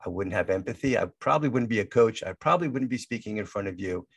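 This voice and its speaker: A man's voice: lowish voice, expressive, quick pace, gravelly, a bit bright, breathy edge, dry recording. A man in his sixties who stutters, hosting an interview as a coach and often repeating words as he talks. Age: 60s. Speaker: podcast host and coach. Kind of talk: casual interview chat.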